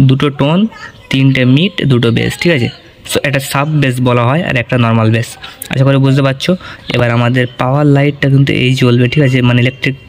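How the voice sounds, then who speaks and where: A man giving an informal gear walkthrough, explaining step by step and often checking that the listener follows. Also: medium pitch. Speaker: audio gear reviewer.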